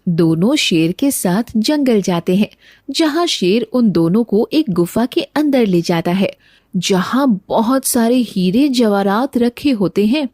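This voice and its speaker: A woman's voice: mid-range voice, expressive, slow, darkish tone, no room sound, clean studio sound. A woman reading scripted narration for a children's moral story.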